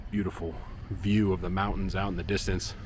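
A man's voice: low-pitched, flat delivery, brisk, darkish tone, slight background noise. A man in his thirties chatting casually while walking outdoors, filming himself at arm's length for a travel vlog, with lots of fillers. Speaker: travel vlogger. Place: outdoors by a lake and parking lot. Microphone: handheld action camera at arm's length.

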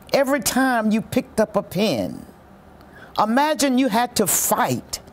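A woman's voice: medium pitch, a little mellow. An older woman reading a prepared narration aloud as a readers theatre performer, recorded in a small room. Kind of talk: unaccompanied hymn singing and slow scripted reading. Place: small furnished indoor room.

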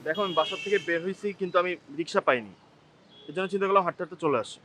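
A man's voice: sits high, rapid-fire, background noise, lightly acted. A young man acting an earnest, reassuring poor young friend and son in a short-film drama. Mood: placating.

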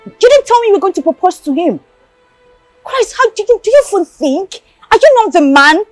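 A woman's voice: extremely high pitch, very animated, a little fast, no echo, faint music behind. A woman playing an appalled, scolding upholder of tradition in a screen drama.

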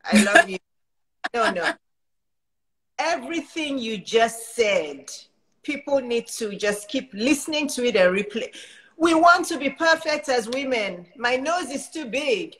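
Nigerian-accented woman, 50s, high voice, effusive host on a webcam call. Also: animated, measured pace, a bit bright, rough recording. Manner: effusive, laughing, emphatic. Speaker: host and life coach. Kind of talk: casual video-call interview. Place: indoors in front of a logo backdrop. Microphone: webcam on a video call.

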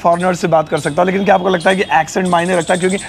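A young man hosting an interview, asking questions in a brisk, chatty way and speaking to viewers directly. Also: high voice, flat delivery, vocal fry, a little hiss or hum. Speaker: host, English teacher. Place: outdoor garden courtyard. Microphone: clip-on lapel mic.

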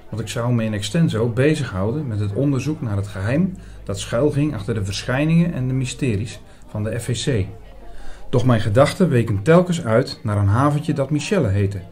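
A man playing an earnest priest who narrates a mystery audio drama in a measured, bookish, formal way. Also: medium pitch, lively intonation, darkish tone, slightly rough. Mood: earnest, absorbed. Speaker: narrator and lead actor.

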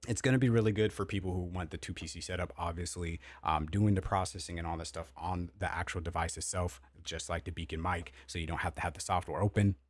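A man with a deep voice giving a blunt, opinionated gear review at home, close to a studio mic, repeating himself and swearing casually. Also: fairly even intonation, fast, hardly any pauses, no room sound. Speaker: tech content creator. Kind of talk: opinionated product review rant. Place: home streaming room with LED lights. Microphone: studio mic on boom arm, close.

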